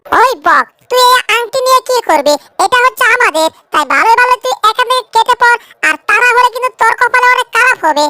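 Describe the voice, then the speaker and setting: A tiny, plucky cartoon animal voice, squeakily high and bright. It is cheeky and bold. The recording is dry and close. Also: female voice, lively intonation, quick pace, no room sound, exaggerated voice. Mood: bold, gleeful. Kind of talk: cartoon fan dub.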